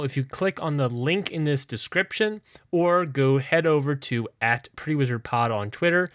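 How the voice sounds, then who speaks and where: A man's voice: medium pitch, fairly bright tone, no room sound, clean studio sound. A man making a casual, rambling podcast announcement, jokingly correcting and repeating himself as he goes.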